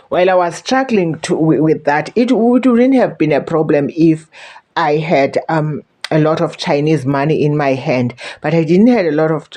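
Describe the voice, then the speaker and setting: A woman's voice: deep voice, dark tone. A South African woman telling a travel story to her viewers in a chatty way, repeating words as she warns them. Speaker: vlogger. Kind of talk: casual storytelling to camera.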